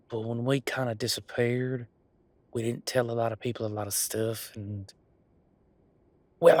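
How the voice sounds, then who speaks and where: A man acting a sheepish folksy young man, slow-spoken. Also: mid-range voice, dry recording, close to an everyday voice. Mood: sheepish, evasive. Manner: hesitant, rambling, folksy drawling phrasing. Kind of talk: scripted audio roleplay drama.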